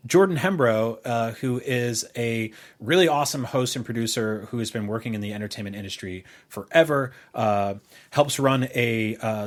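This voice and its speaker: A middle-aged man with a medium-pitched voice talks as a podcast co-host. He is casual and rambling, feeling his way through sentences with halting starts and vivid comparisons. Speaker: podcast co-host, video host and writer. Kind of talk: casual conversational podcast chat.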